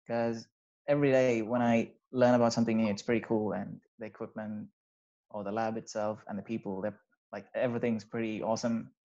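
Young male student from Sri Lanka, casual and halting, heard over a muffled video call. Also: mid-range voice. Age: young adult. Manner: relaxed, hesitant, many fillers. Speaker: undergraduate student. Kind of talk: casual interview answers. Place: small bedroom, indoors. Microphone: video call with wired earphones. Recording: slightly dull video-call audio.

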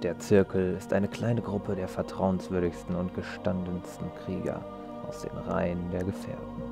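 Guy rambling over a game he's playing, cracks jokes, deepish gravelly voice. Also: completely flat delivery, normal speed, loud music behind the voice. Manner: rambling, joking, irreverent. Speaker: let's play host. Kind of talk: casual gameplay commentary.

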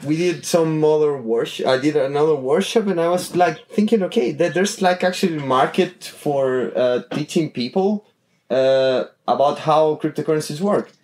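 A man's voice: a little high, normal speed, a little mellow. Hesitant man from Chile. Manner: hesitant, many restarts and fillers. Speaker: podcast guest. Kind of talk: casual interview talk.

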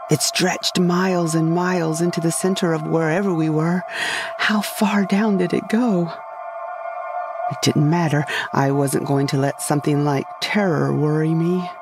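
A narrator telling a first-person sci-fi comedy story in a florid, dramatic, scripted storytelling style. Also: medium pitch. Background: music behind the voice.

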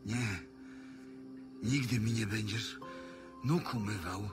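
A man's voice: fairly low pitch, slow. An actor playing an earnest, insistent male disciple in a dramatized audio Bible. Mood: protesting. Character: Simon Peter, earnest protesting male disciple. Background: music.

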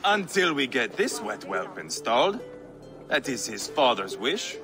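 An actor playing a brutal, crude Viking warlord who taunts and sneers. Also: male voice, mid-range voice, sing-song, a little slow, long reverb tail, over music. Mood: sneering. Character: brutal scarred male Viking warlord, mocking.

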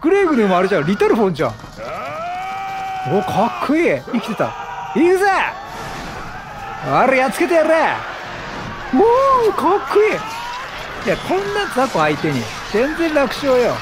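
A man giving excitable, chatty video game commentary, exclaiming often and playfully mimicking the characters. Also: very high-pitched, moderate pace, long pauses, noisy background. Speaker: gameplay streamer.

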